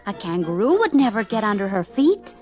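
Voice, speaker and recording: A woman's voice: medium pitch, very animated, brisk, a little mellow, highs cut off, faint music behind, natural voice. A woman voicing an eager, polite five-year-old boy in a children's story, earnest and exclamatory. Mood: eager, hopeful.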